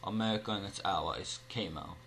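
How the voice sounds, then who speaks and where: Low-voiced man narrating a newsreel, noisy recording. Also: brisk, fairly bright tone.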